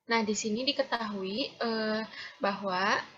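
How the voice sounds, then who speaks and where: A woman's voice: medium pitch, takes it slowly, fairly bright tone, slightly rough. A young woman from Indonesia explaining a case as a student in a class presentation. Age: young adult. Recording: slightly dull, lo-fi sound.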